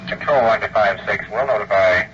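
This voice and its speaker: A man's voice: fairly low pitch, a little fast. An American man speaking as a police radio operator, clipped and official. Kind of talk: formal radio dispatch. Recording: dull police radio, clear background noise.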